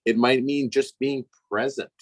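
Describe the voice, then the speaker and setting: A middle-aged man with a cold-roughened, raspy voice, giving a calm, measured talk as guest speaker at an online session. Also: medium pitch, background noise. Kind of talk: reflective public talk.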